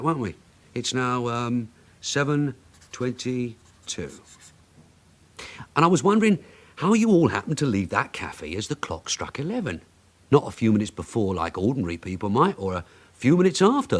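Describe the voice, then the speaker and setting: Wry British police sergeant, animated delivery. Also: male voice, mid-range voice, normal speed. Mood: sardonic. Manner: sardonic, probing, mock-courteous. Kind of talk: radio drama acting.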